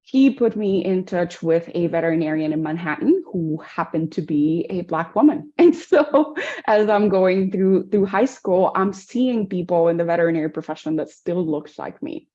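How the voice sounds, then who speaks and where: Dominican-born woman with a mellow, low voice. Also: expressive, normal speed, dull audio. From Dominican Republic. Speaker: guest, veterinarian. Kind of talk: interview.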